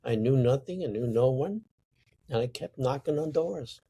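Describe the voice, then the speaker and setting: An older man from Sicily, telling stories from his life slowly and with emphasis. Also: medium pitch, animated, creaky, warm tone, slightly rough. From Sicily, Italy. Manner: slow, deliberate, emphatic storytelling. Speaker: interview guest, artist. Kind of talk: reflective storytelling.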